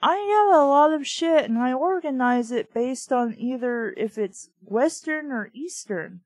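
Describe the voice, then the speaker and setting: A woman's voice: high-pitched, animated, measured pace, a little mellow, dry recording. Young woman with dry, close sound, casual and blunt. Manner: blunt, opinionated, swears freely. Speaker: host reading a children's book aloud with commentary. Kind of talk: casual commentary interspersed with read-aloud.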